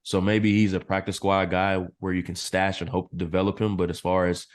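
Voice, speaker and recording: A man analyzing football draft picks as a podcast guest, his voice a little hoarse and clearing his throat now and then. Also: sits low, brisk. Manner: steady analytical explaining. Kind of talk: casual podcast interview.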